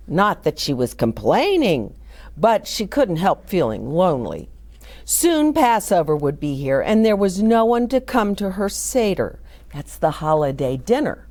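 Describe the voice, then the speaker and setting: A woman's voice: mid-range voice, a little mellow. A woman hosting children's story time, reading aloud and explaining things along the way.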